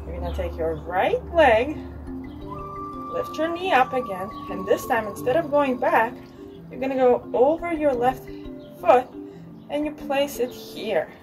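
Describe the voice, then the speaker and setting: A woman's voice: high-pitched, animated, measured pace. A young adult woman leading a movement lesson for children outdoors, giving simple, encouraging step-by-step instructions from a little way off the camera. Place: outdoors on a covered wooden deck. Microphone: camera a few steps away. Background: music.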